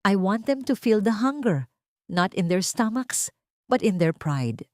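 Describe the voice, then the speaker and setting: A woman's voice: medium pitch, big pitch swings, measured pace, darkish tone, dry recording, clean studio sound. A woman voicing a cold, commanding, betrayed wife and wealthy boss in an audio story, with a controlled, cutting manner.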